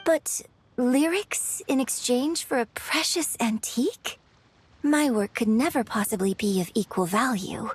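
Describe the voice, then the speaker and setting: A woman voicing a gracious, refined young opera singer in an animated game, polite and articulate. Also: on the high side, a little slow, breathy edge, no room sound. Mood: modest.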